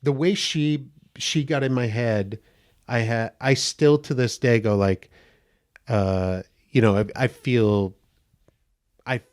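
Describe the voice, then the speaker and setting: Male guest actor, soft higher-pitched voice, lively anecdotes, quick laughs. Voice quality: slightly high, gentle voice. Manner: animated storyteller, laughs often, acts out quotes. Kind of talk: casual podcast chat.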